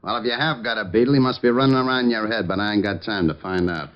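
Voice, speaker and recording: An actor playing a gruff, menacing gangster boss who talks in ungrammatical tough-guy slang, in an old-time radio drama. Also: fairly low pitch, quick pace, lightly acted. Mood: cool menace. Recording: muffled vintage radio recording.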